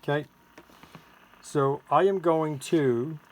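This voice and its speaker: A man giving a casual hands-on product demonstration as a seller, halting and thinking aloud while he works the controls. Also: fairly high pitch. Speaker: seller demonstrating a homemade electronics gadget. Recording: clearly noisy, with electronic tweets and siren-like tones.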